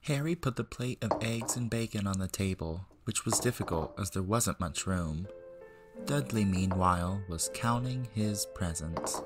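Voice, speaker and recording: A man's voice: on the low side, measured pace, music in the background. A man reading a story aloud as narrator, in scripted storybook style. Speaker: narrator, amateur audiobook reader.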